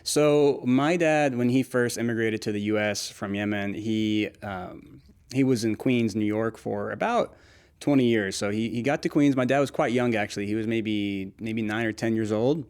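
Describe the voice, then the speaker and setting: Laughing young man in a relaxed chat. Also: fairly low pitch, normal speed, clean tone. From Nashville, United States. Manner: laughs often, restarts sentences, relaxed and friendly. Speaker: interview guest, food creator. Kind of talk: casual conversational interview.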